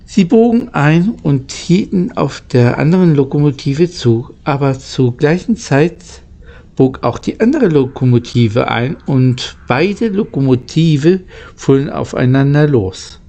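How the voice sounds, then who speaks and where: A man reading a children's story aloud in a halting way, stumbling over words now and then. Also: medium pitch, lively intonation, creaky, dark tone. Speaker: amateur audiobook reader.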